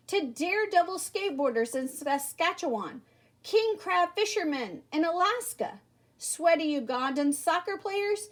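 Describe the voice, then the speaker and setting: A woman reads a children's story aloud in a high voice. Her delivery is lively and emphatic, with big swings in pitch, and she addresses her young listeners directly. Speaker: storybook reader. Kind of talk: expressive children's read-aloud.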